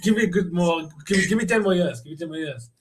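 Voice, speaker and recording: A man chatting casually as a football show panelist, slangy and often trailing off quietly. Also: fairly high pitch, fast talker, heavy background noise. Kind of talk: casual fan banter.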